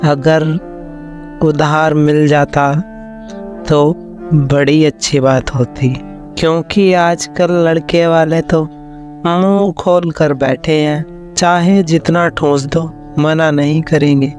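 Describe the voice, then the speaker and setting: A man's voice: a little high, steady tone, takes it slowly, darkish tone. A man voicing a humble, polite village neighbour in a moral story cartoon, with deferential phrasing. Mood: apologetic. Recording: music under the voice.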